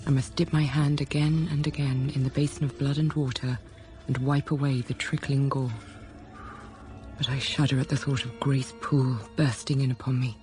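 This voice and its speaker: An actress playing a dutiful young governess in a period radio drama, speaking in a measured, restrained, formal way. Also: female voice, very deep voice, dark tone. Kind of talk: period radio drama acting and first-person narration.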